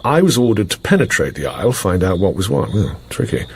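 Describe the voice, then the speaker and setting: A British intelligence officer in a radio drama, with a low voice that rises and dips theatrically. The delivery is gravelly, with hesitant storytelling and clipped, well-bred turns of phrase. A faint noise sits behind the recording. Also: male voice, very animated, brisk. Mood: grave, dramatic. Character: British intelligence station officer briefing a secret agent. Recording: faint background noise and ambience.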